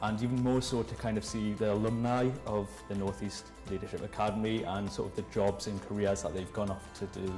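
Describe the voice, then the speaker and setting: A young man from Northumberland speaks low and very evenly, earnest and measured as he answers interview questions as a graduate trainee. His voice sits in an echoey room with clear music playing behind. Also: level tone, a little mellow. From Northumberland, England. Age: young adult. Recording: roomy sound with music behind.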